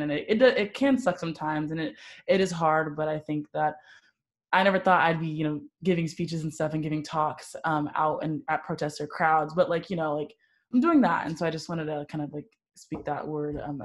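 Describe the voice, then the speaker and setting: Low-voiced young woman, fast and stuttering. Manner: fast talker who stutters at times. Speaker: youth panelist. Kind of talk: casual panel talk. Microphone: video call.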